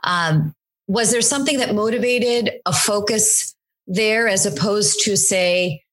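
A woman's voice: mid-range voice, measured pace, fairly bright tone. A woman hosting an interview, reading a formal introduction and then asking questions with some fillers. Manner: reads bio smoothly, then asks questions with fillers. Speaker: interview host.

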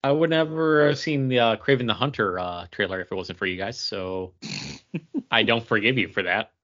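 A man's voice: on the low side, normal speed, a bit bright, muffled recording. A man co-hosting a podcast in casual, conversational chat. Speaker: podcast co-host.

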